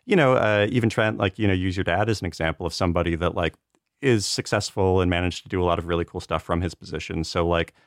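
Deep-voiced American man, rambling and earnest. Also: fast talker, no echo, studio quality. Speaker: podcast panelist, TV writer. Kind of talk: casual conversation. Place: remote video chat recording.

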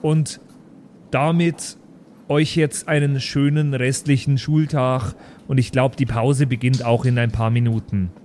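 A man's voice: medium pitch, little pitch movement, a little slow, darkish tone, slight background noise, lightly acted. A man playing an earnest, worn-out schoolteacher who rambles, in an improvised tabletop audio play. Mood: earnest, weary. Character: Thomas, frazzled, earnest male schoolteacher.

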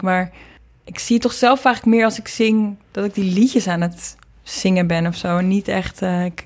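A young woman with a Dutch accent from the Netherlands speaks as a guest in a casual interview. Her voice sits in a middle register, and she talks in a chatty, informal way, often interrupting herself to rephrase. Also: medium pitch. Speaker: interview guest, singer and singing teacher.

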